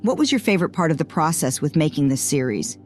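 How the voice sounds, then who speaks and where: A man's voice: fairly high pitch, sing-song, fast talker, a little mellow, faint music behind. A man interviewing a guest, putting questions and responding to the answers.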